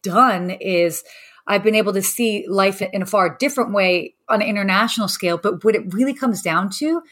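A woman's voice: medium pitch, normal speed, fairly bright tone. A woman, a podcast guest who grew up in the United States and Saudi Arabia, talking in an open, upbeat and reflective way. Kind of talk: casual interview talk.

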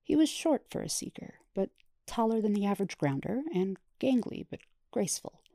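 A woman reading a fan story aloud as podfic narrator, in calm storytelling. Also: medium pitch, moderate pace, gravelly, dry recording, studio quality. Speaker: podfic reader. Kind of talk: audiobook narration.

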